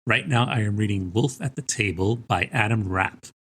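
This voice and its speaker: A man's voice: on the low side, moderate pace, a bit bright, slightly rough. A man co-hosting a podcast, talking conversationally about books. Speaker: book podcast co-host. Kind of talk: conversational podcast book talk.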